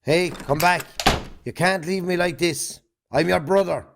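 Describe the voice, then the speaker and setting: A man's voice: high-pitched, measured pace, vocal fry. An Irish man acting a bitter, bullying middle-aged farmer in a stage drama, blunt and combative. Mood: demanding.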